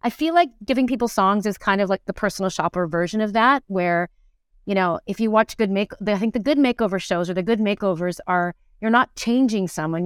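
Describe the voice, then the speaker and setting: A woman's voice: mid-range voice, clean tone, no room sound, studio quality. A middle-aged woman, talking casually and reflectively as an interview guest, thinking aloud as she goes. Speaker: interview guest, musical theatre performer and teacher.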